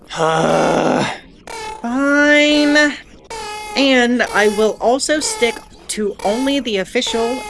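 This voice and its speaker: A woman's voice: fairly high pitch, noisy background. A woman playing a wry, defiant bulletin host aboard a spaceship, narrating a scripted audio drama in a conversational, explanatory way. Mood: exasperated. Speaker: host of an in-world radio bulletin.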